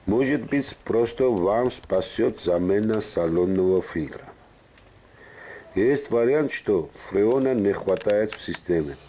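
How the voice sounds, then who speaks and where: A man's voice: deep voice, warm tone. A man giving an instructional voice-over as the presenter of a car repair tutorial.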